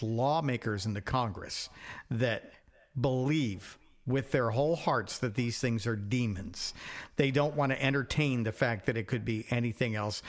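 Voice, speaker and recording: A man co-hosting a podcast, musing in a plain-spoken, wry way as he shares his opinions in casual chat. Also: mid-range voice. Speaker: podcast co-host.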